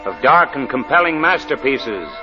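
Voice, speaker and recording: A man's voice: mid-range voice, sing-song, moderate pace. A man reading a formal, dramatic 1940s radio programme introduction. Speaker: radio announcer. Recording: dull old radio recording. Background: music.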